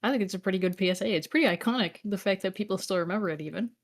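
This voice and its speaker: A woman's voice: mid-range voice, racing. A woman from Canada chatting casually on a livestream. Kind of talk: casual chat.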